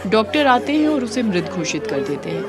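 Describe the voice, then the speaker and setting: A woman's voice: on the high side, big pitch swings, a little fast, a crowd in the background. A woman reading scripted storytelling narration for a melodrama. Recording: music bed behind the voice. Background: background music.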